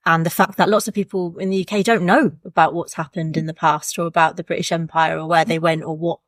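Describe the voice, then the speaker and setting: Quick-talking woman host, low voice. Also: level tone, no room sound, clean studio sound. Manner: friendly, quick, hesitant with fillers while framing questions. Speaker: podcast co-host interviewer. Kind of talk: casual interview questions.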